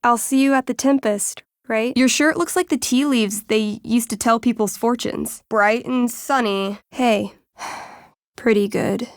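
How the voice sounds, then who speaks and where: A woman's voice: a little high, a little slow, dry recording, studio quality. A woman playing a friendly, nerdy teenage girl in a video game, casual and playful, with a game master's flair for drama. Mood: warm, casual. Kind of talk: naturalistic video game voice acting.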